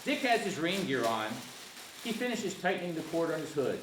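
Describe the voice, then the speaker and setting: A man's voice: on the high side, very animated, normal speed. A man narrating screenplay directions at a table read, sometimes stumbling over the text. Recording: echoey room, faint noise.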